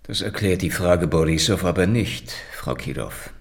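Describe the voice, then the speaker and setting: An actor playing a sardonic, hardboiled Soviet secret police investigator, dry and probing, in a German radio crime drama. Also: male voice, low voice, animated, moderate pace, vocal fry, breathy edge, no room sound, exaggerated voice. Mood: probing, weary.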